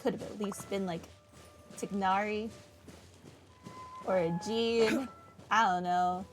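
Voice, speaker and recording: A woman's voice: medium pitch, steady tone, slow pace, faint music behind. A woman hosting a casual game playthrough, rambling along in a self-deprecating way. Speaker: gaming host. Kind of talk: casual playthrough commentary, reading dialogue aloud.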